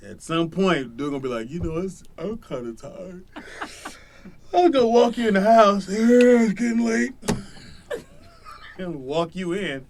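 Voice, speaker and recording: A man's voice: high-pitched, animated, measured pace, pauses often, a little mellow, slight background noise. A male talk show host, teasing his guests in casual banter and laughing a lot.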